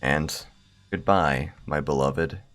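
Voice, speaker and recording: A man reading the part of a solemn, devoted high-school girl in a visual novel, halting and pleading. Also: a bit bright, soft background music, heavily acted. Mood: sad, grateful. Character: lovelorn, formal high-school girl, quietly devoted and tragic. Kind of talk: visual novel dialogue read aloud.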